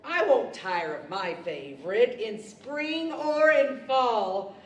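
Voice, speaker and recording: A woman's voice: a little high, sing-song, slow. A woman playing a living patchwork doll, giddy, vain and giggly, with singsong radio-play delivery. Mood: giddy, boastful. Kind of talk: 1940s radio-style stage acting. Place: library stage, microphones on stands. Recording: echoey hall from far off.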